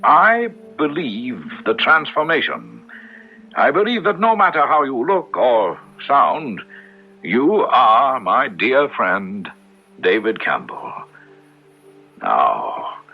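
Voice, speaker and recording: An actor playing a skeptical, solemn country villager in a radio drama, husky and emphatic. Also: male voice, mid-range voice, wildly swooping pitch, takes it slowly, soft background music, heavily acted. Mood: solemn doubt. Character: skeptical, solemn lifelong villager, loyal old friend. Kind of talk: 1970s radio drama acting. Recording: dull old radio broadcast recording.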